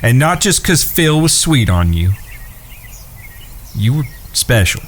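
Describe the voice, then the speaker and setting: Slow-talking sincere cowboy, nature sounds behind. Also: male voice, mid-range voice, big pitch swings, slight background noise. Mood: heartfelt, halting. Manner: halting, heartfelt. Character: sincere, sentimental cowboy outlaw brother. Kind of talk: western audio drama acting. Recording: clear outdoor insect and bird ambience.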